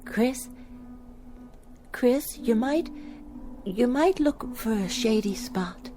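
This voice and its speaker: A woman's voice: a little high, lively intonation, extremely slow, warm tone, faint music behind. An actress playing a sorrowful, fragile pioneer mother, tearful and faltering, in a radio drama. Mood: grieving. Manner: faltering, hesitant.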